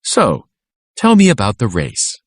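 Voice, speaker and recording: A man voicing an animated, eager woman scientist. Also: medium pitch, a little slow, dry recording, studio quality. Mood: enthusiastic. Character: cheerful, eager woman scientist on inline skates.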